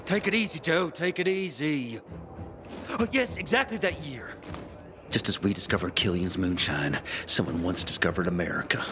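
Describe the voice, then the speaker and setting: A man acting a wisecracking, fast-talking scoundrel of a prisoner in a video game cutscene, joking and wheedling like a born storyteller. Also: medium pitch, animated, natural voice. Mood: placating, excited. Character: wisecracking male prisoner, fast-talking scoundrel who jokes through misery. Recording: music behind the voice.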